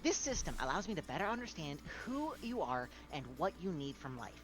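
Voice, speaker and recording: A woman voicing a polished, helpful machine assistant in a video game, speaking in a measured, precise, salesy way. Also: lowish voice, very animated, over music. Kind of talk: scripted game dialogue read aloud.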